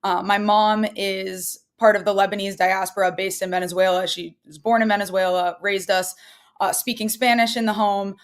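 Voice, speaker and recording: Brief: young female journalist from Boston, relaxed conversational guest, mid pitch. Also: normal speed. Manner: conversational with fillers and restarts. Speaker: newspaper writer, guest. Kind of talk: casual interview answers.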